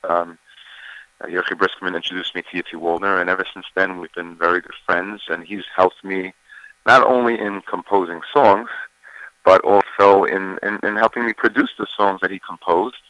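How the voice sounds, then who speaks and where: Deep voiced guy chatting about his album, bright sound, dry room, lots of uhs. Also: moderate pace, no room sound, muffled recording. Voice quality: deeper voice. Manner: relaxed, many fillers and restarts. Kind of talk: casual interview chat.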